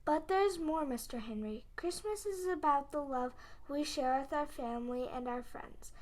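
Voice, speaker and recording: A child of about ten acting as a gentle, pious nun giving earnest counsel in a school radio play. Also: sits high, natural voice, poor audio. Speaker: fourth grade student actor.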